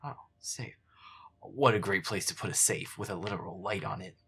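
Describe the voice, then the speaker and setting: A man's voice: low-pitched, moderate pace, fairly bright tone, slightly rough, heavily acted. A man playing a feisty, irritable werewolf boyfriend, muttering sarcastic, sweary commentary over a horror game. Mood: sarcastic, annoyed.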